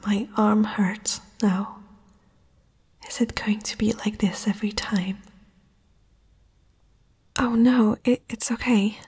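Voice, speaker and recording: A woman voicing a young princess, proud yet uneasy, in a hesitant breathy whisper for an ASMR fantasy roleplay. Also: mid-range voice, fairly even intonation, darkish tone, no echo, heavily acted. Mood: tender, concerned. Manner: hesitant, slow, intimate.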